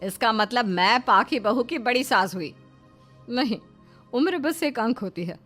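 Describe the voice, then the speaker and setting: A woman's voice: fairly high pitch, expressive, measured pace, fairly bright tone, soft background music. A woman voicing a bossy, possessive older mother-in-law in a cartoon, emphatic, coaxing and imperious. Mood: indignant.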